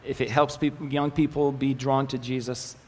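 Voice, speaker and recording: A young man from the Galilee whose first language is Arabic, presenting his ministry to a church audience in a relaxed, joking manner, with many fillers and restarts. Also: medium pitch, normal speed, husky. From Israel, Galilee. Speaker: ministry communications manager giving a presentation. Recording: echoey hall with faint noise.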